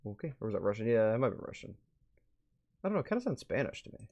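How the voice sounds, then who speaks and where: A man's voice: on the low side, very animated, very fast, creaky, a little mellow. A man live streaming a game, moving between reading on-screen text aloud and offhand, muttered reactions.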